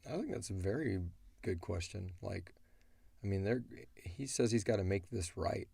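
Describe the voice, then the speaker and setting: A man's voice: low voice, moderate pace, vocal fry, mellow, breathy edge, dry recording. A man who creates audio drama, talking casually and colloquially through his story in a rambling way. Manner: rambling, colloquial, often voicing characters' thoughts. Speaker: audio drama creator answering listener questions.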